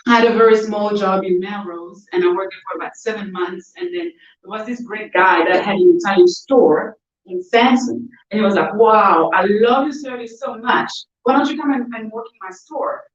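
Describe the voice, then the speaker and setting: Woman, from DR Congo, interview guest, conversational storytelling, medium pitch, distant mic, roomy echo, dull sound. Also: normal speed. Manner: frequent "you know" and "whatnot".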